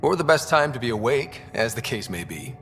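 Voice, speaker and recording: An actor playing a young, easygoing and wry explorer hero in a video game, with a husky voice. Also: male voice, mid-range voice, very animated, brisk, fairly bright tone, in a large hall, faint music behind. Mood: upbeat, teasing.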